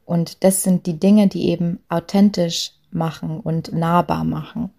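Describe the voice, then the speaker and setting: A young woman from Lower Bavaria with a light Bavarian accent, chatty and full of fillers, giving a talk on authenticity at work. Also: steady tone, a little slow, vocal fry. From Lower Bavaria, Germany. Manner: chatty, frequent fillers, soft laughs.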